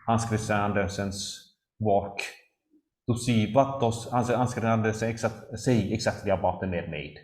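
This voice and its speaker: A Swedish man giving an academic talk, speaking haltingly with frequent pauses and restarts. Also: fairly low pitch, darkish tone. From Sweden. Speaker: lecturer. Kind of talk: academic discussion. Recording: roomy echo.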